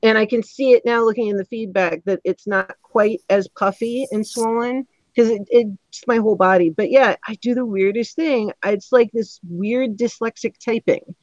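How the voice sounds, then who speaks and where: A woman's voice: fairly high pitch, moderate pace, hardly any pauses, darkish tone, no room sound, muffled recording. A woman chatting casually and expressively as host of a live online discussion, rambling a little as she answers comments. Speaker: host of a panel discussion show. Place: indoors, online live stream.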